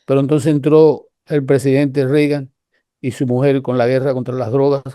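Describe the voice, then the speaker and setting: Older Cuban man, mellow halting storyteller. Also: medium pitch, level tone, dry recording, clean studio sound. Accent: Cuban Spanish. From Cuba. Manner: rambling, halting with many restarts. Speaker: guest researcher. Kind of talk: casual conversational storytelling.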